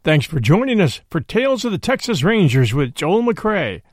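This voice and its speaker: A man's voice: high voice, sing-song, normal speed, no echo, clean studio sound. A man hosting a podcast, speaking in a scripted storyteller style.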